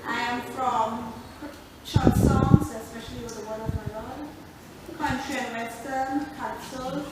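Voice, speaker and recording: A woman slowly reading her own list poem aloud, sometimes correcting herself. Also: fairly high pitch, fairly even intonation. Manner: slow list reading, small self-corrections. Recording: echoey room, far from the mic, noise.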